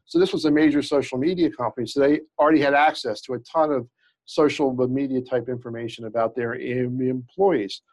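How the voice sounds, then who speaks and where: A man of middle pitch speaks with a slight stutter, often restarting words. He explains legal examples in a conversational interview manner. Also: fairly even intonation, brisk, darkish tone, rough recording. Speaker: employment lawyer, guest.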